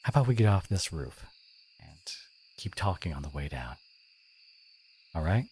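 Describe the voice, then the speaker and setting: Low-voiced, sardonic teenage school bully played by a man. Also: very animated, a little hiss or hum. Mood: vulnerable, gentle. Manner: halting, soft-edged, sardonic, confiding. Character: gruff teenage school bully, secretly wounded and caring. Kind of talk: intimate audio roleplay monologue.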